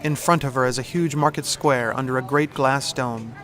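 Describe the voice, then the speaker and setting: A man's voice: medium pitch, fairly even intonation, normal speed, fairly bright tone. A man reading scripted, descriptive narration for an audio drama. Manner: descriptive, present-tense storytelling. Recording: music behind narration.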